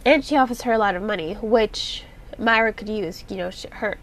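A woman's voice: mid-range voice, fairly quick, rough recording. A congested woman with a nasal voice, hosting a podcast and talking through a book review in a chatty, rambling way with lots of fillers. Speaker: podcast host reviewing books. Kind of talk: casual spoken book review.

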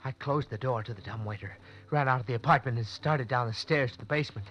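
An actor playing a nervous, desperate young college student, urgent and tense in a vintage radio drama. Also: male voice, medium pitch, quick pace, loud music behind the voice, close to an everyday voice. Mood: tense narration. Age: young adult. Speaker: radio drama lead and narrator. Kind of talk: 1940s-50s radio acting with first-person narration.

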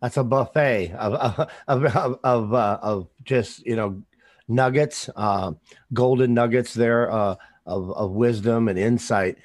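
A man from the American Midwest hosting an interview, talking casually and haltingly, with lots of fillers and sentences that trail off. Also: mid-range voice, dry recording, studio quality. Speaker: podcast host.

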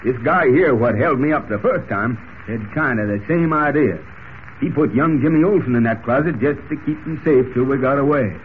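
An actor playing a folksy, easygoing cowboy with an American Western drawl and colorful exclamations, in 1940s radio style. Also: male voice, mid-range voice, normal speed, lightly acted. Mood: wry, compliant. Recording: scratchy, tinny old radio recording.

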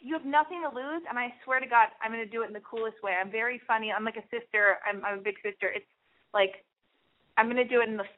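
A woman talks quickly in a slightly high voice, handing out blunt, bossy advice as the host of a call-in show. Her voice comes through narrow and boxy, like a telephone line.

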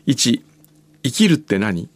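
A man's voice: medium pitch, sing-song, slow, no echo. A man hosting a radio show, chatting casually and reading out messages from listeners.

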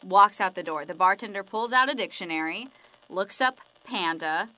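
A woman telling corny jokes and one-liners, slowly and with a giggle, in a radio drama. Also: medium pitch, animated, bright, forceful tone, low-quality recording. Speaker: joke teller.

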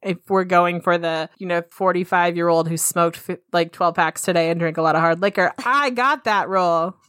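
A woman co-hosting a casual podcast, her voice low and worn from talking all day, swearing and laughing as she tells stories. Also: moderate pace, a bit bright, smooth, dry recording, clean studio sound. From living in Northern California. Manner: swearing, storytelling, laughs a lot. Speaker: podcast co-host. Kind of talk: casual chat.

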